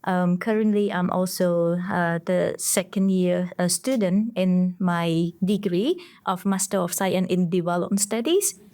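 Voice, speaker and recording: A young woman from Cambodia, a master's student guest on a podcast, answering slowly and hesitantly with many pauses. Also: on the low side, darkish tone. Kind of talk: interview answers.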